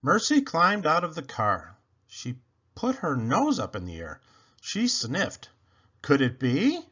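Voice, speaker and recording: A man narrating a children's book aloud in an expressive, dramatic, emphatic style. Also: on the high side, slow, slightly rough, dull audio. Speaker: storybook reader.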